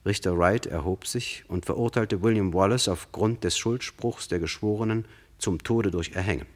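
A deep-voiced male narrator played by an actor tells a true crime radio play. His delivery is sober and measured, explaining things directly to the listener. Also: close to an everyday voice.

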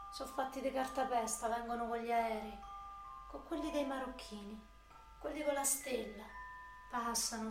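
A woman's voice: on the high side, close to an everyday voice. An actress playing a volatile, foul-mouthed young working-class woman from Rome in a radio drama, with a Roman accent and coarse slangy delivery. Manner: coarse slangy street talk. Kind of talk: radio drama acting with long monologue. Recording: echoey room with faint noise.

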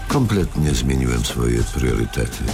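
An embittered older man in a radio drama, his voice extremely low and gravelly. He speaks with deliberate, menacing contempt, as a grandfather bent on revenge. Music plays clearly beneath him. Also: expressive, heavily acted. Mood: tender, reflective. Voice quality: very deep, gravelly. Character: embittered, vengeful grandfather confronting his daughter's abuser. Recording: music under the voice.

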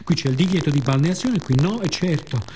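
A man's voice: fairly high pitch, animated, darkish tone, a little hiss or hum, close to an everyday voice. A man voicing the sea in a radio monologue as a playful, boastful being that muses and mocks humans, drawing out words. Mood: mocking. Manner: playful, musing, drawn-out words, sound imitations.

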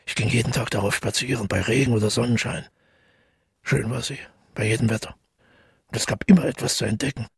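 An actor playing a withdrawn, middle-aged widower who tells his story in a radio drama, husky and gravelly, reflective and measured. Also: mid-range voice, dry recording, clean studio sound. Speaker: narrator and protagonist. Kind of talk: literary radio drama monologue.

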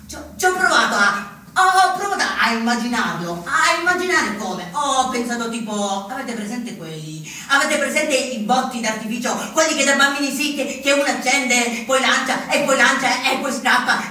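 An actor playing an agitated, furtive neo-fascist in a halting theatrical monologue, repeating himself insistently. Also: male voice, very high voice, sharp tone, heavily acted. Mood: halting, unsure, then excited, groping. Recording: echoey distant stage recording, faint noise.

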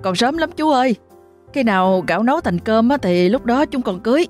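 A woman's voice: on the high side, lively intonation, fairly quick, darkish tone, soft background music, voice pushed up, lightly acted. A woman voicing a good-humored young male village teacher who jokes respectfully. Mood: playful. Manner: joking, respectful.

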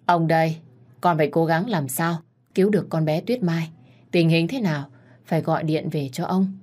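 A woman's voice: low voice, moderate pace, darkish tone. A woman reader puts on an old grandfather in a storybook family drama. He is commanding and irritable, barking his orders. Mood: grave urgency. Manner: barking, authoritative.

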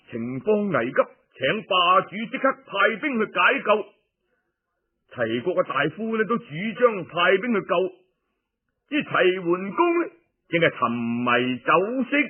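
High-pitched, unhurried Cantonese male storyteller. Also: phone-quality sound. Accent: Cantonese, Guangdong. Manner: theatrical, colloquial, drawn-out emphatic phrasing. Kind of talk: traditional Cantonese radio storytelling of historical tales.

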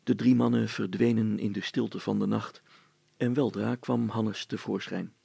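A man's voice: on the low side, level tone, unhurried, vocal fry, warm tone, slightly rough, no echo. A man narrating a children's story in a dramatic storytelling style.

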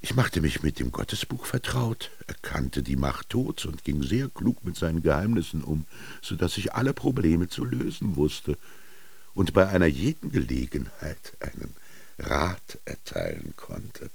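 An actor playing a solemn, brooding ancient Egyptian royal scribe in a radio drama, declaiming deliberately and theatrically. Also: male voice, a bass voice, very animated, gravelly, breathy edge, heavily acted. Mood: quiet, reflective. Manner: deliberate, declaiming, theatrical, swinging between murmur and proclamation. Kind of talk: literary radio drama monologue.

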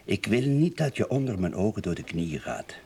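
An actor playing a concerned, paternal office partner in a radio drama, firm but kindly. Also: male voice, lowish voice, sing-song, moderate pace, creaky, darkish tone, breathy edge, exaggerated voice. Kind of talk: naturalistic radio drama dialogue.

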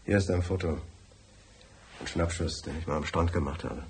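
Deep-voiced, brusque businessman. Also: moderate pace, a little hiss or hum. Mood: terse, confiding. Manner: curt, formal, bossy. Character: brusque, tight-fisted, wealthy businessman client. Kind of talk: radio drama acting.

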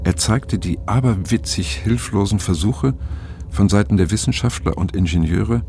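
An actor playing a brooding, disillusioned narrator in a radio drama, speaking reflectively and somberly with a gravelly voice. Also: male voice, fairly low pitch, moderate pace, a little mellow, slight background noise, close to an everyday voice. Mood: troubled, resigned. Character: brooding, disillusioned male narrator recalling troubling times. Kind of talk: scripted first-person radio drama narration.

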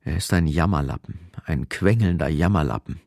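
A man with a gravelly voice narrating a scripted German radio drama. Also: very deep voice, moderate pace, a little mellow, no room sound, studio quality. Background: music.

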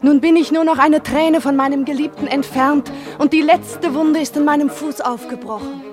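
A woman's voice: sits high, fairly even intonation, moderate pace, over music, lightly acted. An actress playing a tender, grieving woman with solemn intensity in a poetic radio drama. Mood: urgent, sorrowful.

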